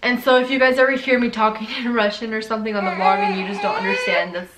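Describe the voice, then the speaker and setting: A woman's voice: on the high side, steady tone, fast, fairly bright tone. A young woman from Ukraine chatting casually with her husband in a home vlog, laughing often. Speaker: vlogger. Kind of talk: casual couple Q&A chat. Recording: echoey room, faint noise.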